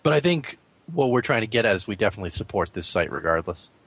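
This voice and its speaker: A man's voice: on the low side, very animated, fast talker, a bit bright. A man co-hosting a podcast, discussing gaming casually in a polite, considered way. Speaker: podcast co-host.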